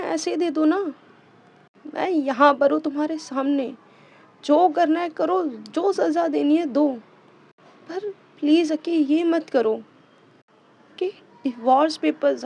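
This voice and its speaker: A woman's voice: high-pitched, a little slow, creaky, long pauses, darkish tone. A woman voicing a distraught young woman who cries and stammers as she begs her spouse not to leave. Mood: pleading. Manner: stammering, tearful pleading. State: crying.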